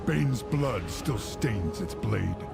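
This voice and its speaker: Slow, gravelly orc warrior. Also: male voice, fairly low pitch, animated, exaggerated voice. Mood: determined. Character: gruff orc warrior hero, grim and honorable. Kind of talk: video game voice acting. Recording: loud game music behind voice.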